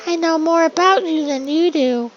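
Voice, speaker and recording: A man voicing a mysterious, reproachful young woman from a dream in a visual novel, cryptic and deliberate. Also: very high voice, a little hiss or hum, pitched above the performer's own voice. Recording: dull lo-fi audio with music behind.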